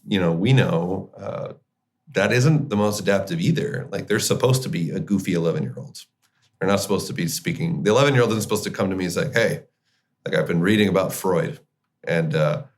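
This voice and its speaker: Low, gravelly man explaining conversationally. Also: brisk. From Chile and the United States. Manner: informal, uses slang like kiddo and buddy. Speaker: clinical psychologist, podcast guest.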